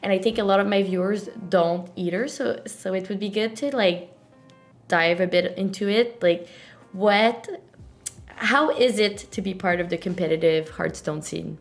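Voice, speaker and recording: A woman's voice: mid-range voice, normal speed, faint music behind. A woman hosting an interview, asking casual questions with frequent fillers and light laughter. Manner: giggly, hesitant, with many fillers. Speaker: host.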